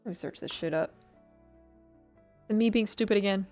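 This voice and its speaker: A woman chats casually as she builds a model kit, drifting between thoughts with plenty of fillers and self-mocking giggles. Her voice sits at an ordinary middle pitch. She sometimes turns away from the desk mic, and soft music plays faintly behind her. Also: normal speed. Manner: rambling, filler-heavy, giggly, self-deprecating. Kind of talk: casual chat while building, reading chat.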